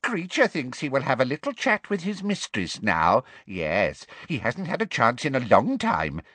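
A small, spiteful old house-elf from a fantasy audiobook. The voice sits high and swings about gleefully. He gloats like a servant who relishes disobeying, speaking of himself in the third person. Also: wildly swooping pitch, normal speed, a bit bright, husky, no room sound, heavily acted. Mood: gleeful, gloating.

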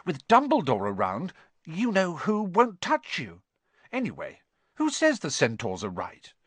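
Guy doing a concerned young girl for an audiobook, earnest, pitched way up, dry sound. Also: wildly swooping pitch, a little slow, a bit bright, husky, dry recording, full character voice. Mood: anxious, comforting. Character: young girl, anxious, caring and reassuring. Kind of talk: audiobook character dialogue.

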